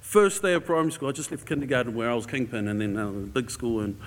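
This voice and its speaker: A man with a New Zealand accent speaks to a congregation in a big, reverberant hall. His pitch is average for a man. He talks informally and conversationally, feeling his way through a personal story as a visiting speaker. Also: fast. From New Zealand, grew up in Auckland. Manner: casual, conversational, hesitant phrasing with tag "eh". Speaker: guest speaker for a charity. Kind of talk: informal church talk, sharing a personal story. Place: large indoor church hall. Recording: echoey hall with long reverb.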